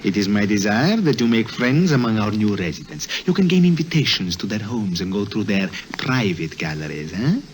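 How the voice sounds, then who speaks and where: An actor playing a cautious, courtly older boss of art thieves, speaking with formal, measured old-world manners in a 1950s radio drama. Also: male voice, fairly low pitch, big pitch swings. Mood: businesslike, instructive. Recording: noisy old radio recording.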